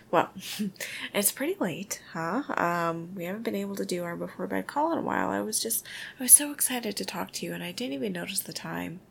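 A woman doing a whispered ASMR girlfriend roleplay, soft, intimate and affectionate. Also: medium pitch, gravelly, slight background noise.

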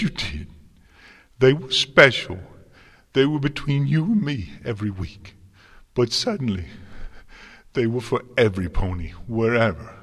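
A man's voice: deep voice, slightly rough. A man voicing a distraught young female unicorn student in a story, emphatic, bitter and anguished. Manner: emphatic, anguished, sarcastic delivery.

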